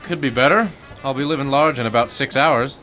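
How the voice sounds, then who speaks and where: A man playing an edgy, defensive ex-Marine turned crook in a detective radio drama, talking in gruff slang. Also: medium pitch, a little fast, pushed and bright, faint music behind, close to an everyday voice, low-quality recording. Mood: uneasy, defensive.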